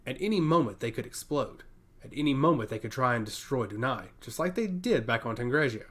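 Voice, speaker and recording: A man's voice: mid-range voice, expressive, a little fast, lightly acted. A man voicing a grave but hospitable male god of chaos in a fantasy audio story, formal and blunt. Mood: grave warning.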